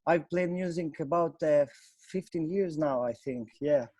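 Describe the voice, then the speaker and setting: A man in his thirties from Russia, a club DJ chatting on a video call in halting, relaxed English. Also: on the high side, no room sound. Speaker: resident club DJ, panel guest. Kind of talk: casual video call chat. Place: small room indoors.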